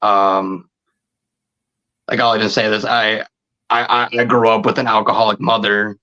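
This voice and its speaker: A man in his thirties chatting casually as a podcast guest, halting and often repeating his words and phrases. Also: fairly low pitch, little pitch movement, bright, forceful tone, dull audio. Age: 30s. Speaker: podcast guest, video creator. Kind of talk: casual interview chat.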